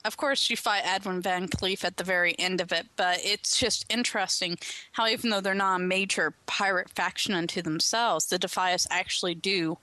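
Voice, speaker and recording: A woman's voice: fairly low pitch, fairly even intonation, normal speed, bright, forceful tone, dry recording, poor audio. A woman chatting casually on a panel and explaining things conversationally. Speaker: podcast panelist.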